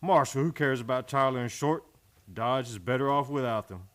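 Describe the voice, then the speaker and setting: A man's voice: medium pitch, normal speed, a bit bright, close to an everyday voice. A man acting a folksy, defensive Old West barkeeper in a radio play, dodging and explaining. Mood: evasive, defensive.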